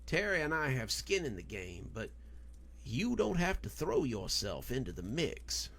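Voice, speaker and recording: A man's voice: mid-range voice, sing-song, a little slow, vocal fry, fairly bright tone, full character voice. Man as earnest, protective male friend.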